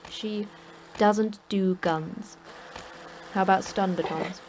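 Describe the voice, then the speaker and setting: A woman's voice: mid-range voice, fairly even intonation, slow, natural voice. An actress playing the terse, dry, matter-of-fact female manager of a secret monster facility in a sci-fi horror audio drama. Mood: dry, brisk. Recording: clear background noise.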